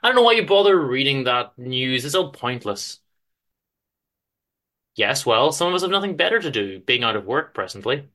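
A man's voice: mid-range voice, very animated, normal speed, sharp tone. A man reading a story as a jobless husband, breezy and affectionate, putting on cheer in a chirpy way. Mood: wry.

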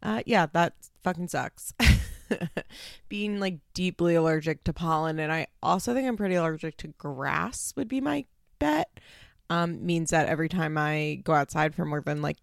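A woman's voice: low-pitched, vocal fry, no room sound, clean studio sound. A woman hosting a podcast in casual chat, sounding stuffed up and congested, talking loosely with lots of "like" and the odd swear word. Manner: chatty, frequent "like" fillers, swears casually. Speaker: podcast host.

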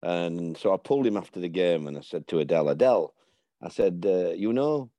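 An older man tells stories in a low, mellow voice, slipping into the voices of the people in them. The sound is dry and close, with almost no room around it. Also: brisk, no room sound. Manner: animated storyteller, acts out dialogue. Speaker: former football manager telling stories. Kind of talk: casual anecdotal chat.

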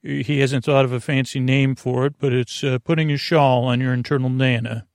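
A man with a creaky, gravelly voice at a middling pitch rambles through a bedtime podcast. He halts, doubles back and pokes fun at himself with whimsical asides, in a close, dry sound. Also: steady tone, a little mellow, no echo. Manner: meandering, halting, self-deprecating; whimsical, acting out little imaginary exchanges. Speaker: podcast host.

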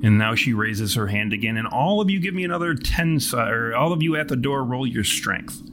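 A male game master delivers dramatic tabletop narration with florid, theatrical phrasing. His voice sits at an average pitch for a man, with some noise behind it. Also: animated, fairly quick. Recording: noticeable background noise.

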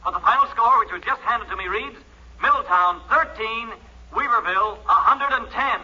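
An actor playing an earnest, excitable sports announcer in a 1940s radio comedy. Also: male voice, very high-pitched, moderate pace, husky. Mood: announcing, amazed. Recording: muffled, slightly noisy old radio recording.

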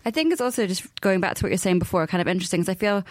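Fast-talking young woman radio host. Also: medium pitch, lively intonation, no echo, studio quality. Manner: quick, rambling, giggly. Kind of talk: casual radio chat. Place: radio studio.

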